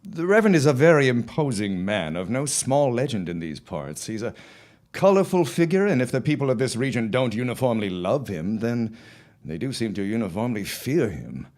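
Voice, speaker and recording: A man acting a confident, dismissive farmer husband of the early 1900s in an audio drama, with formal, deliberate phrasing. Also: medium pitch, big pitch swings, darkish tone, natural voice. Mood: grave storytelling.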